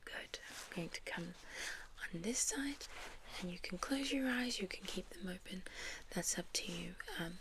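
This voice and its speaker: A woman with a South African accent speaking in a soft, breathy whisper, giving gentle, reassuring instructions in an ASMR medical roleplay. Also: lowish voice, expressive, unhurried, noisy background. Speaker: ASMR creator playing a medical examiner.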